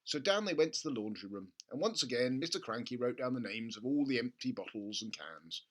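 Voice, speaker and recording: A man reading a children's story aloud as an expressive narrator. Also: medium pitch, brisk. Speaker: storybook reader.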